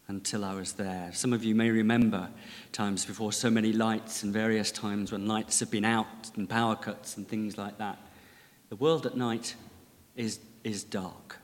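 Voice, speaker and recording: Bloke giving a sermon, easygoing with dry jokes, big echoey church. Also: male voice, fairly low pitch, steady tone. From Lancashire, England. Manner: gentle, measured, conversational with dry humour. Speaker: preacher. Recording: long reverb of a large church.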